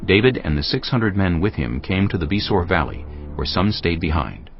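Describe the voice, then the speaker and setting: A man narrating dramatized scripture in a solemn, measured storytelling manner. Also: sits low, big pitch swings. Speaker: Bible narrator. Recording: dull audio with music behind. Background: background music.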